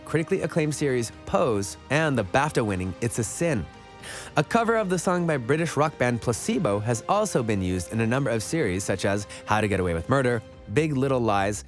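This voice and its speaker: A man reading scripted narration for an online countdown list video. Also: medium pitch.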